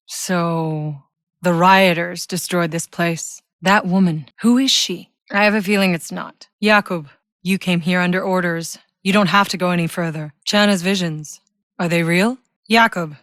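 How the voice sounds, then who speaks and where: Woman acting low-voiced, hard-bitten female soldier. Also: fairly bright tone, no echo, clean studio sound, lightly acted. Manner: blunt, wry, swearing. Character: tough, sardonic, battle-hardened female soldier, game protagonist. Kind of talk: video game character voice lines.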